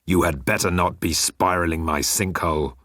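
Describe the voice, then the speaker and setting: Bored, disdainful immortal green alien, male narrator acting, low-pitched, close and dry. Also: steady tone, normal speed, fairly bright tone, dry recording. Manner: disdainful, world-weary.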